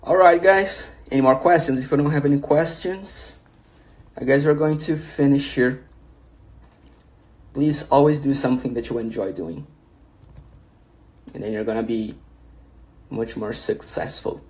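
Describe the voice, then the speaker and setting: A man's voice: medium pitch, unhurried, long pauses. Brazilian man hosting a casual livestream. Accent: Brazilian Portuguese. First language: Portuguese. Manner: encouraging, reads questions aloud then answers, frequent restarts. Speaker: livestream host reading viewer questions.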